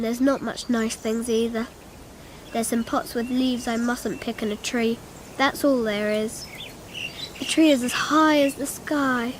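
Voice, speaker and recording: A woman's voice: high voice, a little hiss or hum. An actress playing a young, imaginative schoolgirl narrating a radio drama in a naive, literal way. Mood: wistful. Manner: naive, literal, childlike phrasing.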